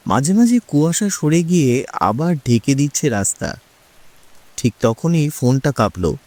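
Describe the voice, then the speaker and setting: A man narrating a horror story in a suspenseful, drawn-out, dramatic way, as the show's host. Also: fairly high pitch, sing-song, mellow, a little hiss or hum.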